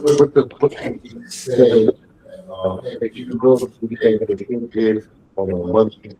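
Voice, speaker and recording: Man, lowish voice, audience questioner, halting with repeated words, noisy recording with clicks. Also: warm tone. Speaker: real estate agent in audience. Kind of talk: hesitant spoken question. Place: indoor training session room. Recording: clearly noisy room with clicks.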